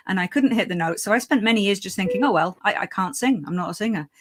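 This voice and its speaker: A woman's voice: mid-range voice, fast talker, background noise. A British woman in her forties hosting a casual livestream chat, laughing often and using lots of fillers. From Britain. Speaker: livestream show host.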